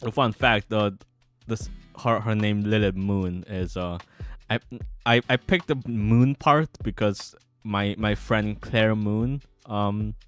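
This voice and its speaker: A man giving casual livestream commentary as a game developer, halting and repeating himself excitably. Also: fairly low pitch, clear, resonant tone. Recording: music behind the voice.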